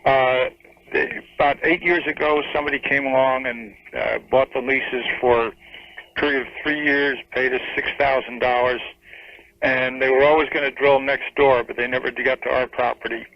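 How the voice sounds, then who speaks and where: A muffled, lo-fi radio phone call from a mature man. He speaks at a middling pitch and lays out his question haltingly, with hesitations as he explains. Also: steady tone. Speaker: radio show caller. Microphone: telephone.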